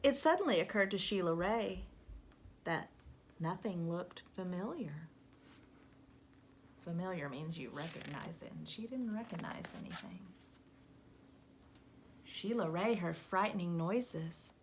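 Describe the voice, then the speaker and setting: A woman teacher reading a storybook aloud to young children, expressive and emphatic, speaking to them directly. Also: lowish voice, measured pace, long pauses, slight background noise.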